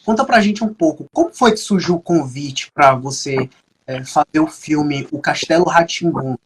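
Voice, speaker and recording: A man's voice: on the high side, moderate pace, a little hiss or hum. A man hosting a live podcast, casual and hesitant with many fillers. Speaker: podcast host.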